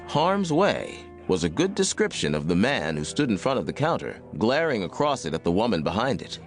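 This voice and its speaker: A man's voice: fairly low pitch, very animated, normal speed, faint music behind. A man with an American accent reading scripted narration for a Western audiobook.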